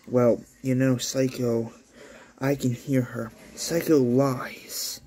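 A man voicing a troubled, questioning teenage girl in an anime story, plainly and haltingly. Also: medium pitch, level tone, warm tone, slightly rough. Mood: uneasy.

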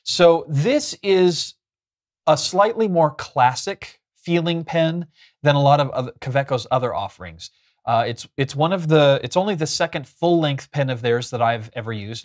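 A man in his thirties hosts a review in a chatty, explanatory way. His voice sits at an ordinary middle pitch. He talks casually to the camera, picked up by a lapel mic in a small furnished room. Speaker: host of a pen review show.

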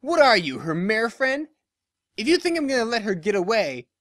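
A narrator voicing a brash, hostile young female pegasus pony, accusatory and forceful, in a story. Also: medium pitch, very animated, normal speed, dry recording, clean studio sound, voice pushed up. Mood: defiant, sneering.

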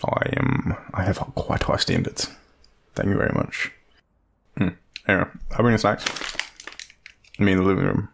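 A man acting as an awkward young Australian guy, sweet on his friend, in an intimate audio roleplay. His voice is low and full of gravelly fry, swinging widely in pitch. He rambles and hesitates, joking at his own expense. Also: wildly swooping pitch, normal speed, pauses often, full character voice. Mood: playful, relaxed. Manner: rambling, hesitant, self-deprecating, swearing. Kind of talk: intimate casual roleplay, half-improvised.

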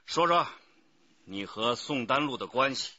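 An actor playing a stern, authoritative police detective chief in a radio crime drama. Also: male voice, mid-range voice, animated, measured pace, sharp tone, dry recording, dull audio. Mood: stern, pressing. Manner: authoritative, pressing.

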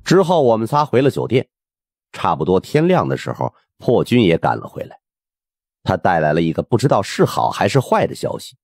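A man narrating a supernatural mystery audiobook in the first person, in an expressive storytelling style. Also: low-pitched, husky, no room sound, clean studio sound. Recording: music under the voice.

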